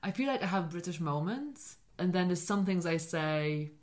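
A young woman with a Polish accent that leans partly British talks in a loose, casual way. She drifts from one tangent to another in a lowish voice as she co-hosts a chat show. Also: lively intonation, moderate pace, a little mellow. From Poland. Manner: scattered, jumps between tangents. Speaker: podcast co-host.

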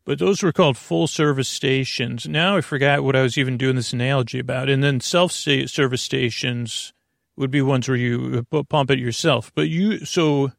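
A creaky, gravelly man rambles through a bedtime podcast monologue, wandering off on tangents and padding every thought with filler words. The recording is close and dry, with no room sound. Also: medium pitch, fairly even intonation, normal speed, dry recording. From United States. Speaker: podcast host. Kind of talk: meandering sleep podcast monologue.

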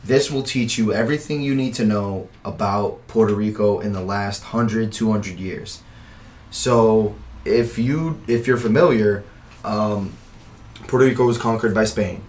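A man's voice: lowish voice, unhurried, a little mellow. A man hosting a podcast, easygoing and affirming, earnestly explaining history he has researched. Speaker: podcast host. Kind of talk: casual podcast chat.

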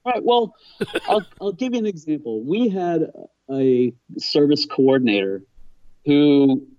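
A man's voice: fairly high pitch, lively intonation, a little slow, dark tone, dull audio. A man answering interview questions as a business owner, explaining things in an earnest, emphatic, conversational way.

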